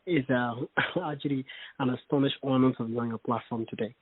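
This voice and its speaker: Guest: young man from Liberia, husky voice, earnest and halting, on a dry, tinny call line. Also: medium pitch, fairly even intonation, dry recording. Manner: earnest, hesitant, many fillers. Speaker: interview guest. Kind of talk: casual interview. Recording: dry, phone-like call audio.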